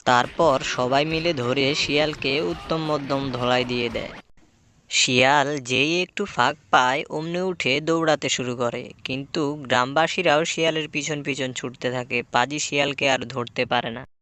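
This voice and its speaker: A man's voice: mid-range voice, fairly even intonation, moderate pace, pushed and bright. A man reading scripted folktale narration for a cartoon.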